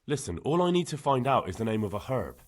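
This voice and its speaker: An actor playing an earnest, helpful young medieval villager in a video game, speaking plainly. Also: male voice, medium pitch, big pitch swings, quick pace, a little mellow. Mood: earnest, concerned. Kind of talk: video game cutscene dialogue.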